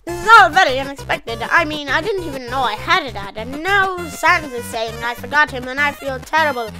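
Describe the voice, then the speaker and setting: Innocent skeleton little brother, extremely high voice, dramatic and sincere, cartoon comic dub over music. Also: female voice, expressive, a little fast, low-quality recording. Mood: guilty. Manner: theatrical, earnest, exclaiming. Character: naive, earnest, dramatic young skeleton brother. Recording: music behind the voice.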